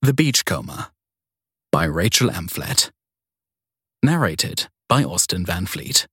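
A man's voice: sits low, very animated, slow pace, a bit bright, husky, no echo, clean studio sound. A man narrating a crime story in a scripted audiobook style.

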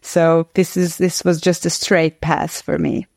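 A Hungarian-accented woman in her forties recounts her past in an earnest, reflective interview, pausing on small fillers as she thinks. Her voice sits low, and the recording is close and dry with no room sound. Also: flat delivery, creaky, darkish tone, dry recording. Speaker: guest actress. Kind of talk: interview storytelling.

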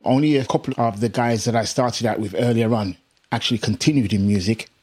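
A middle-aged English musician with a British accent touched by Jamaican Caribbean tones, telling stories casually in an interview and laughing often. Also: male voice, medium pitch, fairly quick. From England. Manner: laughs often, animated anecdotes. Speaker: musician guest.

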